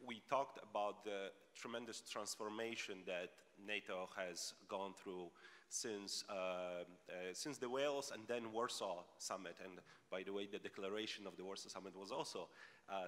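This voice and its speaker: A man moderating a panel, speaking in a halting, wordy way with repeated words. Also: lowish voice, fairly bright tone. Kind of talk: panel moderation before an audience. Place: large conference hall. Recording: echoey hall with long reverb.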